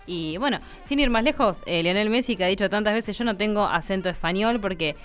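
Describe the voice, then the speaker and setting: A woman's voice: medium pitch, fairly quick, faint music behind. A woman with an Argentine accent hosting a radio segment, talking with frequent fillers and hesitations. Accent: Argentine Spanish. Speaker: radio host.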